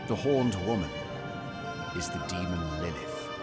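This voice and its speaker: An actor playing a stoic wandering adventurer in a video game cutscene, terse and serious. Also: male voice, sits low, lively intonation, unhurried, over loud music. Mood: grave. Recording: loud game score behind voice.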